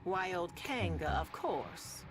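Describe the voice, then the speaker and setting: A woman playing a sardonic, world-weary radio host in a sci-fi game, telling stories in a deliberate, ominous, wry way with long pauses over music. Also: fairly low pitch, very animated. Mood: sardonic, foreboding. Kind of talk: slow dramatic monologue to listeners, storytelling.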